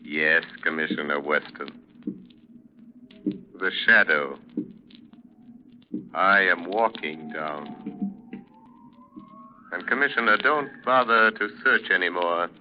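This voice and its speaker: An actor playing a mysterious, commanding male crime-fighter in 1930s radio drama, speaking formally and deliberately. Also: low-pitched, lively intonation. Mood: calm, commanding. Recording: muffled, noisy vintage radio recording.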